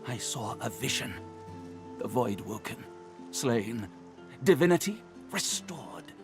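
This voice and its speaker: A desperate lizard dreamer from a fantasy video game. He pleads groggily in broken, halting phrases that swing widely in pitch. The delivery is slow, with music clearly playing behind it. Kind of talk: dramatic video game voice acting.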